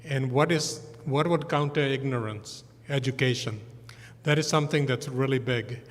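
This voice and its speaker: A man's voice: medium pitch, moderate pace. Panelist, male, from Malaysia and Singapore, earnest delivery, long church reverb. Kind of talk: panel discussion. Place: church sanctuary.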